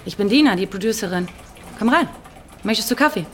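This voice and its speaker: A woman's voice: medium pitch, animated, natural voice. An actress playing a confident, direct woman producer who commands and teases, in radio drama dialogue. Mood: brisk, businesslike. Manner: brisk, direct. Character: brisk, confident female TV producer, teasing and commanding. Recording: loud music bed behind voice.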